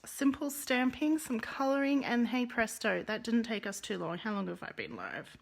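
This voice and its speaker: A woman's voice: a little high, animated, normal speed, creaky. Chatty woman with a Russian accent. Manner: chatty, greets viewers, rolls her R's. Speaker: card-making teacher. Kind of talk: live-streamed craft lesson.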